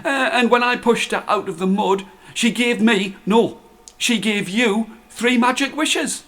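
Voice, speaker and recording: A man doing a squeaky, excitable old man. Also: measured pace, heavily acted. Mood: excited. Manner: high-pitched, bouncing, singsong. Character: excitable, foolish old man, a folktale husband. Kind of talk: storybook character voice.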